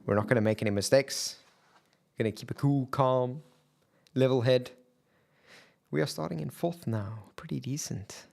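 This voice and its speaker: A man's voice: mid-range voice, normal speed, vocal fry, pauses often. A man with a South African accent, chatting and thinking aloud as he streams a sim racing game. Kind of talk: live gameplay commentary. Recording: loud racing game engine noise behind. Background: loud car engine sounds from the game.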